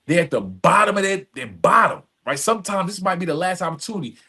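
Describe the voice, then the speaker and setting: A man's voice: high voice, sing-song, quick pace, sharp tone. A man speaking as a podcast guest, telling personal stories candidly and emphatically, with street slang and repeated phrases. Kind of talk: candid long-form conversation, personal storytelling.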